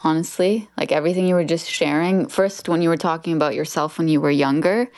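A woman's voice: deep voice, steady tone, fast, a little mellow, dry recording, studio quality. A woman guest on a podcast, speaking earnestly and heartfelt in a reflective conversation.

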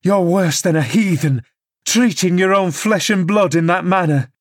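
A man's voice: high voice, moderate pace, no echo, studio quality, voice pushed up. A man voicing an indignant middle-aged woman housekeeper who scolds her master.

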